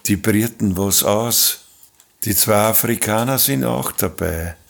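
A man's voice: fairly low pitch, slow, vocal fry, a little hiss or hum. A man playing a brooding, suspicious, mutinous seaman in a radio play. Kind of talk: slow radio play monologue.

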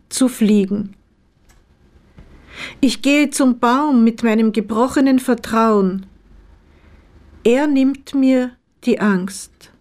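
A woman reading her own poems in a measured, deliberate way with pauses between phrases. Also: a little high, darkish tone. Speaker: poet, author reading own work. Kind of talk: literary poetry reading.

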